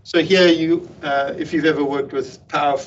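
A man gives a technical walkthrough of software features from a home room on a video call, pausing often with ums and restarting sentences. His voice sits a little higher than most men's. The computer-mic audio is dull and lo-fi, with a faint hiss underneath. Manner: relaxed, explanatory, frequent ums and restarts. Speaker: meetup presenter. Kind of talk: technical presentation over slides.

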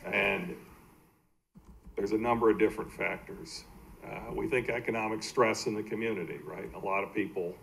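Low-voiced American man presenting, noisy room. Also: fairly even intonation, moderate pace, vocal fry, fairly bright tone. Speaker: baseball team executive presenting to a city council. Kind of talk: slide presentation at a public meeting. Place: indoor council meeting room.

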